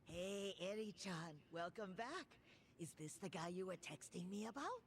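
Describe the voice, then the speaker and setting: A woman's voice: mid-range voice, lively intonation, moderate pace, a little hiss or hum, natural voice. A woman playing a nosy, teasing elderly grandmother in a video game. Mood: friendly, curious. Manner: appraising, teasing. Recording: music behind the voice.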